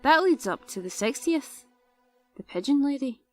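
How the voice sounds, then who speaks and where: Actress as friendly young woman, high-pitched, very animated, casual and teasing, audio drama, music behind. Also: normal speed. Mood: puzzled, concerned. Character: friendly, chatty young woman of a ruined tower community.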